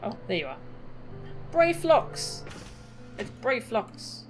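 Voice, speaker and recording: A woman's voice: on the high side, big pitch swings, slow pace, soft background music. Casual woman streaming a game. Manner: casual, wry asides, laughs softly.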